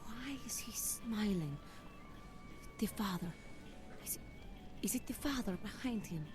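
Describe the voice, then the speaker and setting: A woman playing a troubled video game seer haunted by visions, speaking in a halting, cryptic, trancelike way. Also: medium pitch, expressive, a little mellow, close to an everyday voice. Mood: distracted, trancelike. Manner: halting, cryptic, drifting between trance and fear. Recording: music behind the voice.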